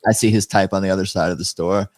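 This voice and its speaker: A man with a deep voice chatting as a musician guest on a livestream, laid-back and self-deprecating. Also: steady tone, fast, darkish tone, dry recording. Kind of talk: casual chat with singing practice.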